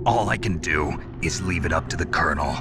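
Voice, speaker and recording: A man playing a gruff, terse, hard-bitten soldier on a covert mission in a video game. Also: extremely low pitch, brisk. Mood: weary, stern. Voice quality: gruff, gravelly. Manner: terse, clipped. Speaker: voice actor. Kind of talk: dramatic video game acting. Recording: loud music behind.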